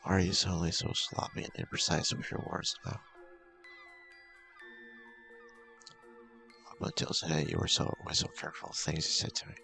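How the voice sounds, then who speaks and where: A man's voice: sits low, huge pitch swings, fast, vocal fry, bright, forceful tone, faint music behind, full character voice. A man voicing an intense, controlling young woman from a horror visual novel. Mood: accusing.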